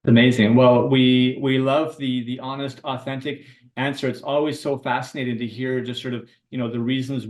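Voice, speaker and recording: An eager male podcast host at a medium pitch asks rambling questions full of filler words. He sits a little away from the mic in an echoey room. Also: recorded from a distance. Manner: enthusiastic, rambling with fillers and restarts. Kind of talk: casual podcast interview.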